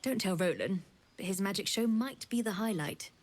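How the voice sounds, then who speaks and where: A woman's voice: medium pitch, moderate pace, a little mellow, natural voice. Actress as proud, fond young woman. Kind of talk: video game character dialogue.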